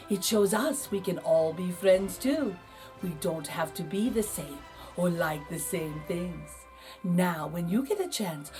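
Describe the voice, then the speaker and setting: A woman reading a children's picture book aloud as host, theatrical and deliberate, with dramatic pauses. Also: on the low side, a little mellow. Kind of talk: expressive storybook narration.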